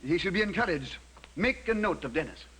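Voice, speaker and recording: High-voiced, fervent lord. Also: male voice, animated, brisk, a bit bright, a little hiss or hum. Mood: earnest, lordly. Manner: grandiose, solemn. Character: earnest, fanatical lord leading a crusade. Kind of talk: period radio acting.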